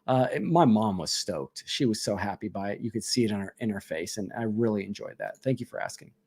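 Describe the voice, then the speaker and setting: A man's voice: fairly low pitch, brisk, a little mellow, slightly rough. A man hosting a casual live question-and-answer stream, reading viewer questions aloud and answering them chattily with frequent laughs.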